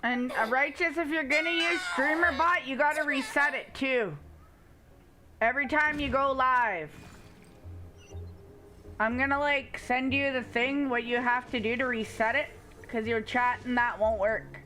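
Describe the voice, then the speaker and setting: A woman live streaming a game in casual chat. Her voice is high and bright, and she takes her time, with faint music behind her. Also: measured pace, a little hiss or hum.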